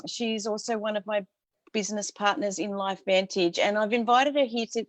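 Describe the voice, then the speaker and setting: A woman's voice: mid-range voice, no room sound. A woman hosting a casual live-streamed video interview, upbeat and friendly as she welcomes her guest, with a few fillers. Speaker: host and interviewer. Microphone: live-streamed video call.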